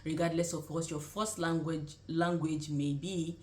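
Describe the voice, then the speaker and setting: Low-voiced young Nigerian woman, echoey room. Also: level tone, unhurried, darkish tone. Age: young adult. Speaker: vlogger and nursing student. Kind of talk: casual direct-to-camera advice chat. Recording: roomy, echoing sound.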